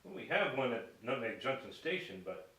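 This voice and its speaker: Roomy, hissy off-mic radio play: helpful train conductor, theatrical, animated pitch. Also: male voice, moderate pace. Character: courteous male train conductor, matter-of-fact and helpful. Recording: roomy, distant mic, hiss.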